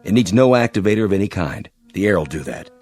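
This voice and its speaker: An actor playing a kind, weary spaceship captain who speaks in a measured, earnest way in a science fiction audio drama. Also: male voice, deep voice, sing-song, darkish tone, soft background music. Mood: firm, earnest.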